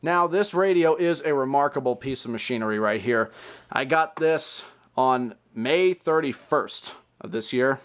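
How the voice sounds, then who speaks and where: A man's voice: mid-range voice, a little slow, smooth. An American man hosting a casual hobby vlog, talking in a chatty, playful, slangy way. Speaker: hobbyist video host. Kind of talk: casual show-and-tell vlog.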